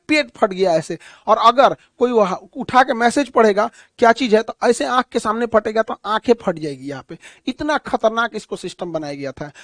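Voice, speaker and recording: A man's voice: sits high, no echo. A man with a Bihari accent giving an explanatory current affairs lecture like a teacher, emphatic, repeating key phrases and posing questions he answers himself.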